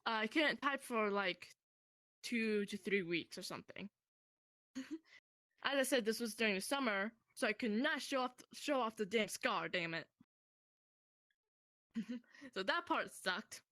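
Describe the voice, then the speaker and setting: A young woman casually telling a personal story to a friend in a rambling, animated way, swearing freely. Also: fairly high pitch, unhurried, pauses often, no room sound.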